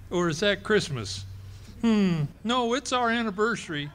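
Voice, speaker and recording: A boastful, bumbling husband full of bluster and stammers, played by a man on a ballroom stage. The voice sits high for a man, in old-time radio comedy style. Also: animated, slow pace, fairly bright tone, full character voice. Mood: flustered. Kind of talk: 1940s radio acting.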